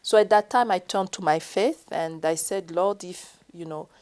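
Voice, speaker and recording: A woman's voice: on the low side, normal speed, a little mellow. A woman from the Democratic Republic of the Congo, answering interview questions in an earnest, explanatory way, listing points one by one. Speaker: energy regulator and professor, guest.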